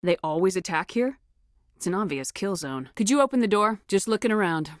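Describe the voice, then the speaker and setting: An actress playing a tough, no-nonsense space marine commander in a video game, speaking in a firm, clipped, authoritative way. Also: female voice, medium pitch, expressive, brisk, dry recording, studio quality, natural voice. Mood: probing, controlled. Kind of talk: scripted video game dialogue acting.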